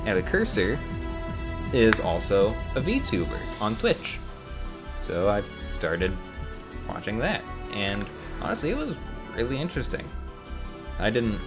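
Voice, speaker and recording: A young man, a channel host, giving a casual, rambling and self-deprecating spoken intro. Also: fairly low pitch.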